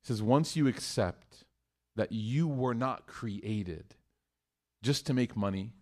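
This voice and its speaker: A man giving a live sermon to a room of listeners, chatty and joking, moving between loud emphatic bursts and hushed points. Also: medium pitch, slow pace. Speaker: mosque speaker. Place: room with an audience.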